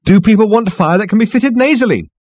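A strident young marketing woman from a comic storybook, put on by a man. The pitch is raised and very mobile, the delivery bossy, insistent and condescending. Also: very animated, quick pace, husky, no room sound, full character voice.

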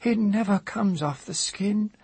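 A man voicing a mad old witch woman, frightened and proud, with a shrill, intense delivery, in a storybook reading. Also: measured pace, darkish tone, breathy edge, dry recording, exaggerated voice. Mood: wild, proud. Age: older.

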